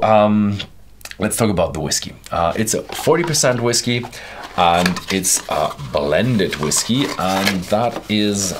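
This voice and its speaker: A man's voice: deep voice, noisy background. A man in his thirties casually reviewing a whisky from an armchair in a small studio, rambling with many fillers and restarts. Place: indoor studio with whisky shelves, seated in armchair.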